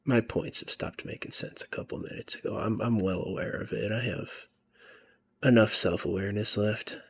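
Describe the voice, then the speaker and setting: A man giving casual, rambling commentary over a video game, musing about himself and often dropping to a soft near-whisper. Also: lowish voice, steady tone, normal speed, gravelly, breathy edge. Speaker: let's play commentator.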